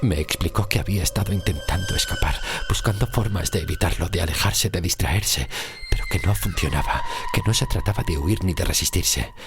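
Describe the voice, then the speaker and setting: Very deep-voiced Spanish man narrating horror. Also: wildly swooping pitch, background noise. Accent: Spain. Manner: slow, grave, measured storytelling. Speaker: narrator of an audio horror story. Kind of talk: scripted dramatic horror narration. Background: music.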